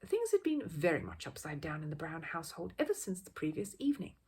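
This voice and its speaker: Very husky, expressive woman storyteller. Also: sits low, fast. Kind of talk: expressive children's audiobook narration.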